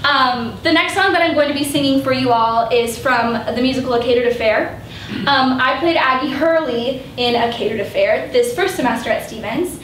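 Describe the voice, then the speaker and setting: A young woman in her twenties introducing her recital, excited and hesitant with fillers, filmed by a distant camera in a parlor room. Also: high voice, normal speed, slight background noise. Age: 20s. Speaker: student performer. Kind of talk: casual spoken introduction. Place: parlor room with grand piano. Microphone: far camera. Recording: roomy, distant room sound.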